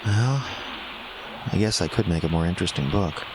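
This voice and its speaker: A man's voice: low-pitched, animated, normal speed, background noise. An actor playing a skeptical, rattled male writer in a horror audio drama, agitated and muttering. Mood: dismissive disbelief.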